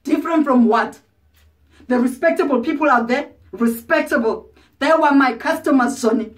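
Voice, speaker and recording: An actress playing a proud, bitter ageing former prostitute, wounded and defiant, in a confrontational stage monologue with pointed pauses. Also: female voice, sits high, lightly acted. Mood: angry, accusing. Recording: distant microphone.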